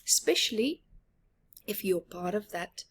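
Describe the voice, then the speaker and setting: A woman's voice: mid-range voice, lively intonation, a little slow, a bit bright, breathy edge. A woman reading a picture book aloud expressively for young children, putting questions to them and often dropping toward a whisper. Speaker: children's storyteller.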